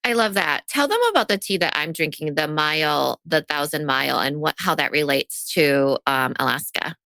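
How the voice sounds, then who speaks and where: A woman's voice: sits low, moderate pace, creaky, sharp tone, no room sound. A woman co-hosting a business podcast, asking questions with enthusiastic reactions. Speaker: podcast co-host. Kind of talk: casual interview chat.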